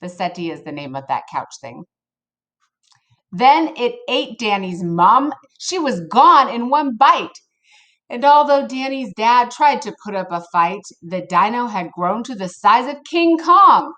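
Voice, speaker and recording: A woman reading a picture book aloud to children in an expressive, playful way, with casual asides to her listeners. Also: fairly high pitch, unhurried. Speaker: storybook reader for children.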